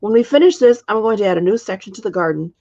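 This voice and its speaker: A woman's voice: medium pitch, lively intonation, quick pace, warm tone, lo-fi sound. A woman reading the part of a practical older great-aunt, a witch, in a storybook. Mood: brisk.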